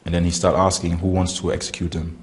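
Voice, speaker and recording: A man, recounting events haltingly in an interview and searching for words. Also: deep voice, flat delivery, slight background noise. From Germany. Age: 20s. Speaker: interviewee, former militant. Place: indoor room with barred windows.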